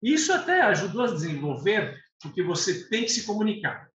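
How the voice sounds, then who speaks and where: A man's voice: high voice, sing-song, brisk, fairly bright tone. A man in his sixties, born in Lebanon with Arabic as his first language, talking unhurriedly as an interview guest, with fillers and restarts. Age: 60s. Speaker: guest, eye doctor. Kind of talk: conversational interview. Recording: echoey room, slightly dull sound, faint noise.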